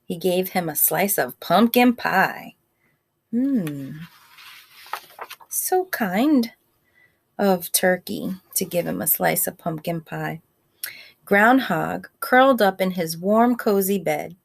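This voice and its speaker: A woman reading a picture book aloud like a teacher, in simple child-directed speech, pausing to ask questions and explain words. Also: lowish voice, lively intonation, creaky. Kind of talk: read-aloud storytime with asides and questions.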